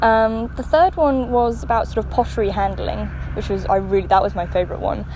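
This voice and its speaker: A young woman of about twenty, an archaeology student, recounting her interviews briskly and upbeat. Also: mid-range voice, steady tone, background noise. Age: around 20. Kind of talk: casual interview answers.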